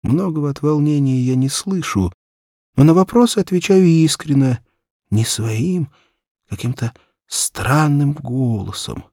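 A man narrating a literary children's story in the first person, with an expressive, measured, acted delivery and a husky voice. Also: a little high, dry recording, clean studio sound. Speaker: audiobook narrator.

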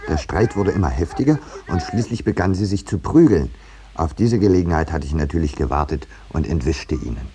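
Low-voiced, wry gentleman painter. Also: animated, a little fast. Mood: wry, unhurried. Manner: polite, dryly ironic, hesitant in dialogue. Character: wry, peace-loving male landscape painter caught in a war. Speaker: first-person narrator and character. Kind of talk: 1950s German radio drama acting and narration. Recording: noisy 1950s radio recording.

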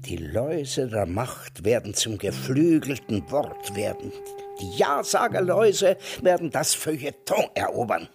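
A man's voice: medium pitch, sing-song, a little slow, vocal fry, music in the background. An actor playing a querulous, ranting old man in a radio play, declaiming with an Austrian accent and swinging from mutters to outbursts. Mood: stubborn.

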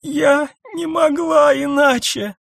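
A man's voice: very high voice, slow pace, dry recording, clean studio sound, pitched above the performer's own voice, heavily acted. A man voicing a wounded, desperate woman. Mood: pleading. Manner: sobbing, pleading. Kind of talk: audiobook character voice.

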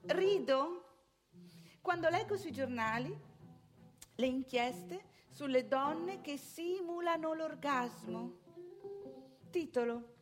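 A woman's voice: high-pitched, expressive, takes it slowly, pauses often, soft background music. An actress playing a proud, anxious, self-righteous married mother in an opinionated theatrical monologue full of rhetorical questions. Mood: indignant, scornful.

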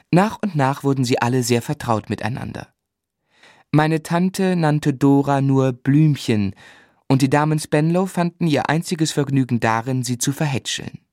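A man's voice: medium pitch, darkish tone, no room sound, studio quality, close to an everyday voice. An actor playing a tender, dreamy young man in love who narrates his life lyrically and reflectively in a literary radio play. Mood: fond recollection. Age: young adult.

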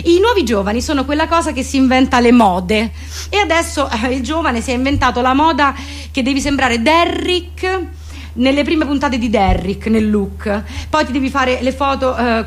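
Female comedian, highish voice, mock-didactic deadpan monologue, colloquial. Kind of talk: comic monologue, mock explainer.

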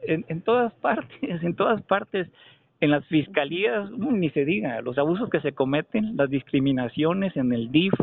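A Mexican man making a call to argue a point, speaking insistently and haltingly, often repeating phrases for emphasis. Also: on the high side, lively intonation, gravelly. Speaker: caller. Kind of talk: phone complaint, argumentative questioning. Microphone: telephone. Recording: narrow, tinny telephone call recording.

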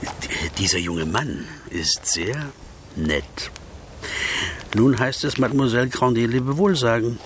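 An actor playing a scheming, flattering provincial abbé in a German radio drama, courtly and insinuating. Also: male voice, medium pitch, a little slow, a little hiss or hum, natural voice.

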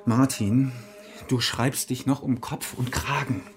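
Radio drama role: cautious monk companion, earnest and fretful, mid-pitched male voice. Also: steady tone, slow. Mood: warning. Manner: earnest, worried, admonishing. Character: anxious, cautious monk, loyal companion of a reformer. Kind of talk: German radio play acting.

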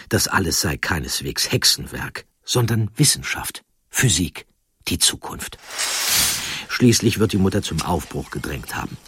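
A man narrates a scripted historical story in a deep, husky voice. He is measured and deliberate, and the recording is close and dry. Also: dry recording.

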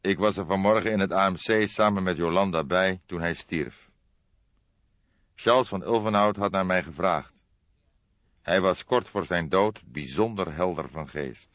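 A man voicing a grey-haired veteran police detective in an audiobook, calm, pensive and measured, explaining things with solemn deliberation. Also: sits low. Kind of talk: audiobook character dialogue. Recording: dull lo-fi audiobook, roomy echo.

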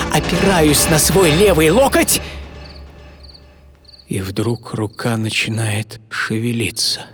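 A brooding imprisoned adventurer, over music. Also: male voice, sits high. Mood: frantic. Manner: theatrical, intense, swinging from hushed whispers to agitated cries. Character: imprisoned 18th-century adventurer, proud, brooding, philosophical man. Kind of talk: dramatic first-person audio drama monologue. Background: music under the voice.

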